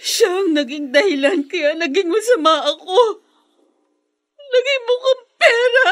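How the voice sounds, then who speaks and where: A woman's voice: very high-pitched, expressive, unhurried, a bit bright, full character voice. A woman acting a bitter, tearful daughter who feels betrayed, in a melodramatic radio drama. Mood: bitter anguish. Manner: tearful, wailing delivery.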